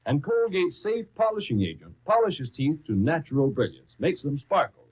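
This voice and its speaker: A man's voice: on the high side, sing-song, normal speed, husky. A man reading as an old-time radio announcer, with an emphatic, persuasive salesman's delivery. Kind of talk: 1930s radio advertising read. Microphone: studio broadcast microphone. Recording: muffled, lo-fi 1939 radio broadcast.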